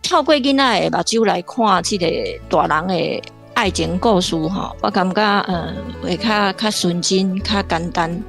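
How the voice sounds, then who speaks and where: A woman's voice: on the low side, unhurried, vocal fry, fairly bright tone, soft background music. A woman with a Taiwanese accent giving informal literary commentary as a guest professor, explaining point by point.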